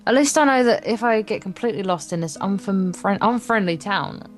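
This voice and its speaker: A woman's voice: medium pitch, lively intonation, a little fast. A woman co-hosting a let's play, reading visual novel narration aloud, stumbling over words and adding wry, casual asides. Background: acoustic guitar game music.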